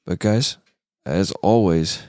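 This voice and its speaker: A man's voice: low-pitched, takes it slowly, warm tone, dry recording, clean studio sound. A man hosting a casual guitar talk show, chatting in an informal, emphatic, slangy way. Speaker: show host.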